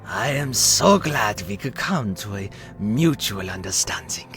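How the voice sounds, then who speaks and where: Sly theatrical hunter, acted by a man, formal and mock-polite, slow, swooping delivery, audio drama. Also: fairly low pitch, expressive, loud music behind the voice. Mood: sly, taunting.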